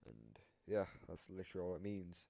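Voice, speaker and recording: A man giving casual, analytical reaction commentary, explaining things in a halting, rambling way that circles back on repeated phrases. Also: low-pitched, sing-song, gravelly, dark tone, a little hiss or hum. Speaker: reactor explaining game voice lines.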